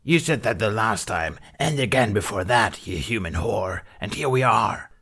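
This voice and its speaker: A man voicing a huge, cruel alien crime-boss matriarch in a slow, guttural, menacing growl for a story reading. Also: lively intonation, fairly bright tone, heavily acted. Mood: furious. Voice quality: guttural, husky bass growl.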